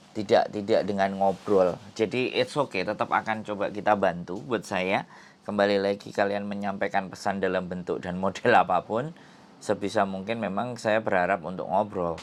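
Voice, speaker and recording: A man, hosting an informal advice talk and explaining his points with emphatic repetition. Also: lowish voice. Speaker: advice show host. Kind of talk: informal advice commentary to followers.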